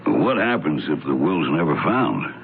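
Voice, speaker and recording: A man's voice: rumbling low, big pitch swings, measured pace, vocal fry, heavily acted. An actor playing a polite, inquiring investigator's legman in a radio mystery drama. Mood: polite, probing. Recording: noisy, tinny old radio broadcast.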